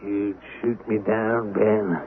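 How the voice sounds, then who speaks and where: A slow, broken, proud old swindler. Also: male voice, lowish voice, level tone. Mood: weary, resolved. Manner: halting, impassioned, theatrical. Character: ruined, proud old swindler turned pleading derelict. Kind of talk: 1970s radio drama acting. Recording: muffled, noisy vintage radio recording.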